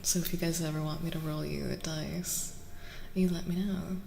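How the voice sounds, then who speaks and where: A soft-spoken woman hosting an ASMR livestream, often near a whisper, greeting viewers in a welcoming way and drifting between repeated phrases and asides. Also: deep voice, steady tone, normal speed, vocal fry. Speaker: livestream host. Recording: roomy reverberant sound with faint noise.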